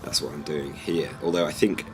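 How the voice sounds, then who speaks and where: A hoarse young man, losing his voice, talks through his workout in a relaxed, rambling vlog style. His voice sits extremely low, and a faint hum of background noise runs under it. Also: fairly quick. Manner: explanatory, relaxed, slightly rambling. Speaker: fitness vlogger. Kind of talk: casual vlog narration.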